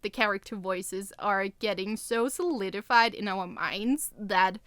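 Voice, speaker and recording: A woman's voice: medium pitch, a little slow, a bit bright, no echo. A woman co-hosting a podcast, chatting casually about writing. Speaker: podcast co-host and co-writer.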